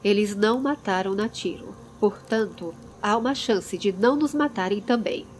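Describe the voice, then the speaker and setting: A woman's voice: medium pitch, normal speed, a little mellow, a little hiss or hum, close to an everyday voice. A Brazilian woman voicing a firm, prudent man who mentors a younger companion in a fantasy audiobook. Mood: curt. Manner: commanding, earnest.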